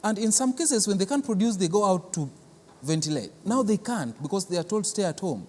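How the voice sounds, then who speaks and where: A man's voice: sits high, lively intonation, brisk, warm tone. A man with a Kenyan accent giving unscripted interview answers as a cultural centre guest, earnest and explanatory, often repeating words. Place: large reverberant theatre building. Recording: echoey hall, clear background noise.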